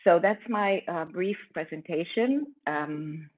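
A woman's voice: on the low side, takes it slowly, a little hiss or hum. A woman in her fifties from Argentina, a tour guide giving a friendly, chatty conference talk over a video call with many ums and restarts. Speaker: tour guide presenting online. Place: indoor room at home. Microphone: laptop webcam on a video call. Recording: tinny video call audio.

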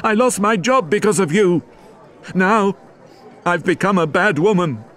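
A man voicing a poor, desperate young mother in an audiobook story, in a high, strained falsetto. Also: expressive, measured pace, fairly bright tone. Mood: bitter.

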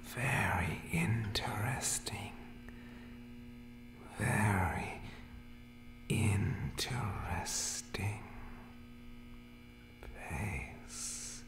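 A man's voice: lowish voice, extremely slow, pauses often, reverberant. A man voicing a monstrous, obsessive barber creature in whispered, broken, repetitive speech for a horror ASMR roleplay. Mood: eerie, curious. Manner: broken, clipped speech with repeated words. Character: monstrous male barber creature from dark places, obsessed with cutting.

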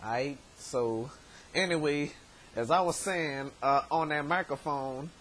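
Hoarse male vlog host in his thirties, fairly high voice, rambling and stammering, webcam in a bedroom with faint hiss. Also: slow, a bit bright. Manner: rambling, many stammered repeats. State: hoarse, voice worn from shouting. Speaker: show host. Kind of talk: casual vlog shout-outs. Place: bedroom, indoors. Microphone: webcam at desk distance.

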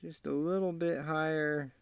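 A man talking through a hands-on tutorial in a casual, halting way, thinking aloud as he works. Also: a little high, steady tone, dry recording. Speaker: amateur how-to demonstrator. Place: inside a parked vehicle. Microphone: handheld camera mic. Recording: clearly noisy amateur camera audio, clicks.

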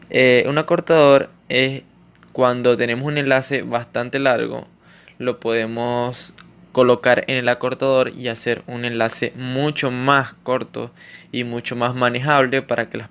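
A man from Latin America talks through a screen-recorded tutorial, relaxed and informal. His voice is smooth and resonant, at an ordinary pitch for a man. He often hesitates with fillers and starts sentences over. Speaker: YouTuber explaining online earnings.

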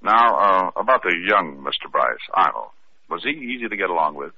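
Low-voiced guy playing a hardboiled detective, tinny old radio sound with hiss. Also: big pitch swings, normal speed. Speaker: detective narrator of a radio mystery. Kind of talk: 1940s radio acting.

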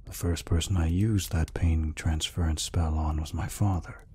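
A man voicing a gentle, peaceful orc healer in an ASMR roleplay, speaking softly and reassuringly without hurry. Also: steady tone, exaggerated voice. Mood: fond, reminiscing. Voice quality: deep, husky, breathy. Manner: soft, unhurried, reassuring. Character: gentle, peaceful male orc healer, soft-hearted caretaker.

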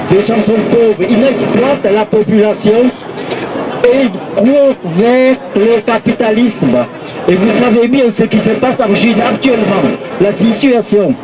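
A man's voice: high-pitched, poor audio. Older man addressing a crowd. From Vietnam. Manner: halting, repeats "vous voyez?" to the crowd. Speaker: protest speaker. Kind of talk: public speech at a rally. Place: outdoor street rally in a city square. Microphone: handheld mic through a loudspeaker. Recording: open-air rally with crowd noise. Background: crowd of protesters.

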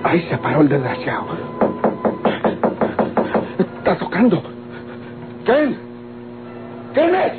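Gruff, high-pitched Mexican hothead. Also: male voice, wildly swooping pitch, unhurried, heavily acted. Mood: uneasy, menacing. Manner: gruff, threatening, insulting, rustic speech. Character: paranoid, violent, ill-tempered man with a pistol. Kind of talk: golden-age Mexican radionovela acting. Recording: old tinny radio recording with music.

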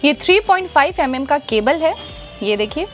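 A young woman with a fairly high voice presents a product demonstration. She speaks directly to viewers and explains each step in turn, with faint music behind her. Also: animated, normal speed. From India. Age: young adult. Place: indoors, small room stacked with product boxes.

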